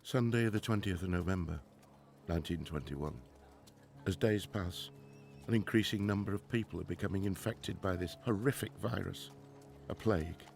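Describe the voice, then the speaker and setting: Deep, creaky-voiced grieving professor played by a man. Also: a little mellow, slight background noise. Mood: sorrowful, solemn. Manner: formal, earnest, halting when troubled. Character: grieving, driven middle-aged professor and father, 1920s. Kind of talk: radio drama acting with diary narration.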